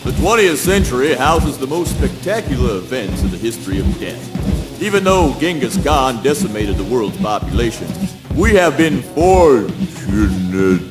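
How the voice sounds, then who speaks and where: A man playing a grim, mock-solemn narrator of gruesome history in a spoken word play, theatrical and deliberate. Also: mid-range voice, very animated. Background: loud music.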